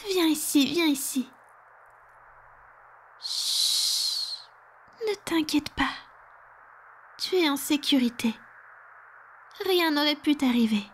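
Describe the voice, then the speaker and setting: Female performer as vampire woman, ASMR roleplay, high-pitched, soft and breathy, formal, commanding yet tender, background ambience. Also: slow, long pauses, a little hiss or hum. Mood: soothing, protective. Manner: poised, formal, self-assured.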